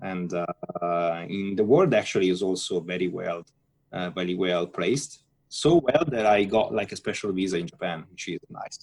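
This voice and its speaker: A man from northern Italy, answering interview questions in a relaxed, hesitant way with fillers. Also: low voice, moderate pace, low-quality recording. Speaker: guest, software architect.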